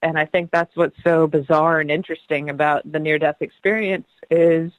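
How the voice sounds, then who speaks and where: A woman's voice: low-pitched, steady tone, dry recording, phone-quality sound. Earnest, laughing middle-aged woman guest. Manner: earnest, laughs often, rushes through stories.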